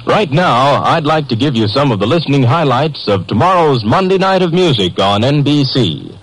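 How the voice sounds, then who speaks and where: A man's voice: mid-range voice, very animated, moderate pace, a little hiss or hum, poor audio. A man with an American accent reading scripted promos as a 1950s network radio announcer.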